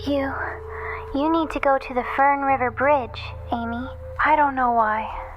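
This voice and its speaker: A woman playing a frightened, guilt-ridden young caller in a noir audio drama, halting and pleading over the phone. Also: sits high, steady tone, background noise. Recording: dull lo-fi phone call. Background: music underneath.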